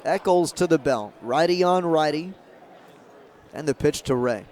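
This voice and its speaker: A man doing live radio play-by-play of a baseball game as the announcer, in measured calls with emphasis on key moments. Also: a little high, darkish tone. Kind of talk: live radio sports commentary. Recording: crowd and ambient stadium noise behind. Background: ballpark crowd murmur.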